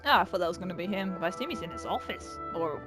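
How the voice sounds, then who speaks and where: A woman's voice: fairly low pitch, animated, quick pace, music in the background. A woman giving casual, sarcastic, sweary video game commentary. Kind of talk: casual let's play commentary.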